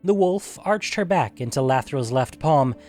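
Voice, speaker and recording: Measured male storyteller, medium pitch. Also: expressive, a little mellow, faint music behind. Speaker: narrator and host of an audio fiction show. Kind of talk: scripted dramatic story narration.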